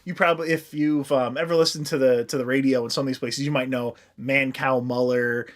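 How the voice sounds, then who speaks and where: A man's voice: mid-range voice, fairly even intonation, brisk. An American man from the Midwest co-hosting a podcast in casual comic chat, rambling through stories with crude, loose wording. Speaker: podcast co-host.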